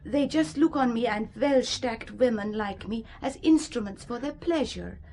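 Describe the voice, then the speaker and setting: An actress playing a frank, earnest young German woman with a German accent, speaking deliberately in an audio drama. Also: on the high side, dark tone, a little hiss or hum, natural voice. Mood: wistful, frank.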